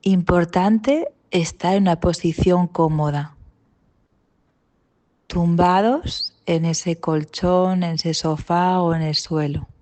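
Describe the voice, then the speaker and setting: A woman with an accent from Spain leading a guided meditation, calm and gentle, with long pauses between short instructions. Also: on the low side. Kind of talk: guided mindfulness body scan meditation.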